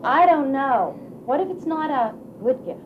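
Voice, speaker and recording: A woman storyteller with a high, very animated voice tells a tale to children. She is theatrical and unhurried, on a slightly dull recording. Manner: theatrical, deliberate, coaxing audience questions. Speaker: puppet show storyteller. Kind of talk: expressive live storytelling for children. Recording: dull, faintly noisy live recording.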